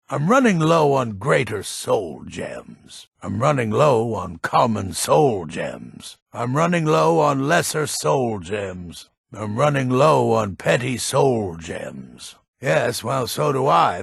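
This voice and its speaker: Gravelly, grumpy old fantasy nobleman. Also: medium pitch, no room sound, clean studio sound, full character voice. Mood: impatient, businesslike. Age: older. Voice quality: gravelly, raspy. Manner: gruff, curt, impatient. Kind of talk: video game character dialogue.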